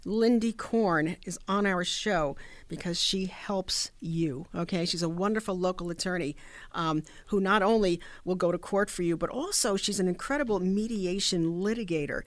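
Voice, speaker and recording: A woman's voice: on the low side, moderate pace, no room sound. A woman hosting a radio talk show, upbeat, with many fillers and restarts, speaking into a studio mic.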